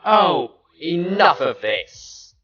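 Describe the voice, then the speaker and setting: A man's voice: a little high, very animated, roomy sound, muffled recording, pitched above the performer's own voice, exaggerated voice. A man voicing a haughty, menacing alicorn mare, an imperious storybook villain. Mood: scornful, imperious. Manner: imperious, drawn-out, loud.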